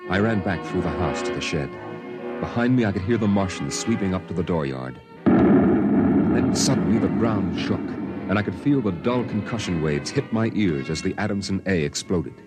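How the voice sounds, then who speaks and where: A man playing a somber storyteller recounting his boyhood on Mars, in measured, dramatic first-person narration for a vintage radio drama. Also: deep voice, expressive. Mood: tense. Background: dramatic music.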